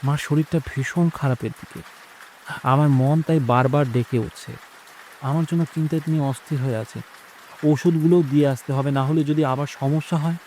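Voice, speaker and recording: A man voicing an earnest, soft-spoken young working man in an audio story. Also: fairly high pitch, fairly even intonation, moderate pace, a little hiss or hum, voice pushed down, lightly acted, poor audio. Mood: tearful, pleading. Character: calm, earnest young working man devoted to his mother.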